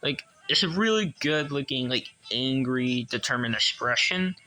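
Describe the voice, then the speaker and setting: A man giving a casual hands-on toy review as a collector, enthusiastic but halting and repetitive. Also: mid-range voice, animated, pushed and bright, low-quality recording.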